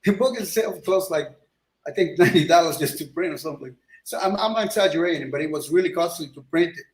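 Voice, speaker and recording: A man's voice: fairly high pitch, fast. Rambling man from Ecuador, echoey room. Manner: rambling, many restarts and fillers. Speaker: guest illustrator. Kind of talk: casual interview chat.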